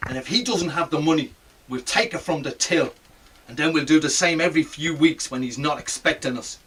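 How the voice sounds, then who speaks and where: A man's voice: a little high, brisk, a bit bright, husky, distant mic, rough recording. An Irish man playing a bossy, impatient small-time robber in a radio drama. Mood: plotting. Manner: commanding, impatient.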